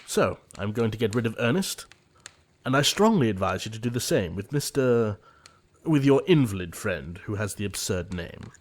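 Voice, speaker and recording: A man playing an earnest, easily exasperated young gentleman suitor in an audio comedy, formal and theatrical. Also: on the low side, very animated, normal speed. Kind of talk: audio play comedy of manners.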